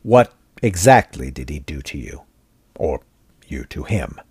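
A man voicing a plain-spoken army captain with a measured, dry way of talking. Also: very deep voice, sing-song, darkish tone, slightly rough, no room sound, heavily acted. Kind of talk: audiobook character voice.

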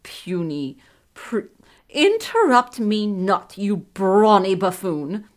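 A woman's voice: mid-range voice, very animated, takes it slowly. A woman voicing a florid, devoted nobleman in a fantasy story, with pompous, archaic, flowery phrasing. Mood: haughty irritation. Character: florid, gallant, lovestruck nobleman, devoted and haughty. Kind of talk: fantasy audiobook character acting.

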